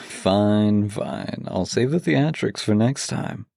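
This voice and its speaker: A man with a deep-leaning voice, husky and full of fry, hosts an intimate audio show. He talks straight to the listener in a theatrical, playful way. The sound is close and dry. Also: expressive, slow, dark tone, dry recording. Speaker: voice actor hosting an audio series. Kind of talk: scripted, intimate introduction to listeners.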